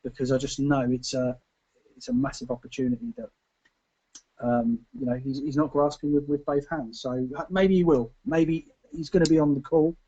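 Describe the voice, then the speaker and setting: Dull lo-fi call audio, emphatic man pitching. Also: steady tone, warm tone. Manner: repetitive, emphatic, halting phrasing. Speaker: webinar co-presenter. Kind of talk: informal testimonial sales pitch. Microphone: online webinar call.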